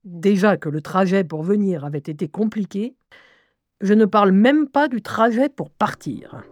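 Female narrator, mellow mid-range voice, intimate first-person storytelling, close dry recording. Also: mid-range voice, moderate pace, no echo.

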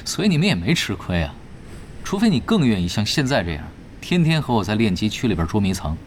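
懒散又欠揍的电竞高手，语调起伏很大. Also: male voice, fairly low pitch, sing-song, fast, slight background noise. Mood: teasing, relaxed. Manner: casual, nonchalant, taunting. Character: cocky, laid-back veteran male pro gamer, teasing. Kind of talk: Chinese audio drama acting. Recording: background music under the voice.

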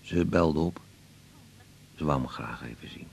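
An actor playing an older, ailing father in a radio drama, halting and confiding, fearful yet proud, with a gravelly voice that often drops to breathiness. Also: extremely low pitch, a little mellow, heavily acted. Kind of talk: naturalistic radio drama acting.

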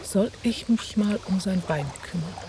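A woman's voice: medium pitch, a little slow, background noise, natural voice. An actress playing a sober, sceptical woman who speaks in terse, probing, no-nonsense lines in a radio play. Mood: cool, reserved. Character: sober, sceptical woman, old friend of a journalist.

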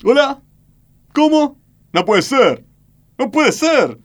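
A man's voice: extremely high pitch, sing-song, takes it slowly, background noise, full character voice. An Argentine man playing a polite, easily flustered car salesperson in a radio comedy sketch.

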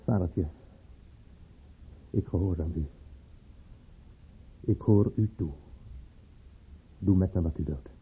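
Humble soldier, very rough voice, halting and deferential, wary of authority, narrow old radio-drama recording. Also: male voice, low-pitched, exaggerated voice. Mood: subdued, submissive. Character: wary, humble male common soldier addressing his superior. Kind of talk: radio play acting.

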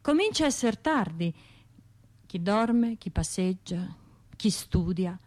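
A woman's voice: mid-range voice, big pitch swings, slow pace, darkish tone. Radio drama monologue: sardonic, wounded Tuscan woman, middle-aged, colloquial delivery, mid-range voice. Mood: tense, irritated. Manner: colloquial, sardonic, acting out other people's remarks. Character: bitter, sardonic Florentine woman, grieving survivor of a bombing. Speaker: stage and radio actor.

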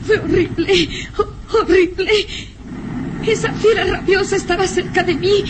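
Scared fragile young lady, super high voice, breathy halting melodrama, noisy old radio recording. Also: steady tone. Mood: tearful, horrified. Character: terrified young woman, fragile and shaken.

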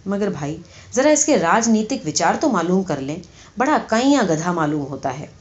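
A woman's voice: fairly low pitch, very animated. A woman voicing a sceptical, sarcastic male British newspaper correspondent in a storybook, wry and slightly sour. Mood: suspicious. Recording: slightly dull, lo-fi audio.

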